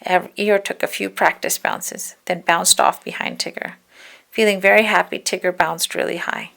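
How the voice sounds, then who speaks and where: A woman reading a children's storybook aloud in an expressive narrating style, now and then correcting a slip. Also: on the low side, normal speed, gravelly. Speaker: storybook reader.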